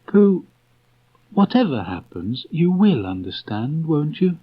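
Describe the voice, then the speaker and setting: A man puts on a tender, dreamy young boy from a storybook. The voice is raised high, halting and earnest. It comes from a dull cassette tape. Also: very animated, husky, exaggerated voice. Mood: earnest, wistful. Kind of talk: storybook character voice.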